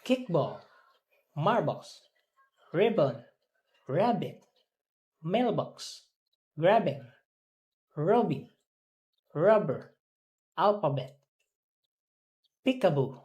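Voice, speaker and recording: An English student carefully reading words and sentences aloud, repeating them deliberately as in a pronunciation drill. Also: medium pitch, big pitch swings, darkish tone. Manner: deliberate, repeating after a teacher.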